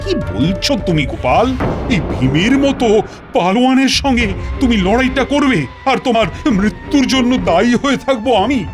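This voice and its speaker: A man voicing an excitable, easily flustered Indian king in a cartoon, theatrical and full of exclamations. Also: very high voice, normal speed, over music. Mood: alarmed, suspicious. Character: excitable, flustered Indian king fretting over his courtier. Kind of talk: Bengali cartoon dialogue.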